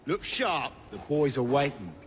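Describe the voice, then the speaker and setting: An actor playing a rough Victorian street criminal, talking urgently in a video game. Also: male voice, medium pitch, sing-song, unhurried, big-room sound, slight background noise. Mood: eager, scheming. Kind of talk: video game cutscene acting.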